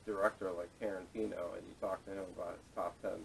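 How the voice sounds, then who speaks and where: A man co-hosting a casual movie chat in a halting way, often restarting his questions, recorded on poor camera audio. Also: low-pitched, level tone, vocal fry, distant mic, a little hiss or hum. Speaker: podcast co-host. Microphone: camera microphone at a distance.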